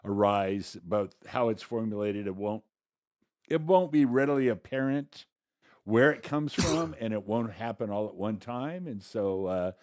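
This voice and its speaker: A man's voice: on the low side, a little slow, a little mellow, no echo, clean studio sound. A man co-hosting a talk show with conversational political commentary, hesitant and rambling, often repeating himself.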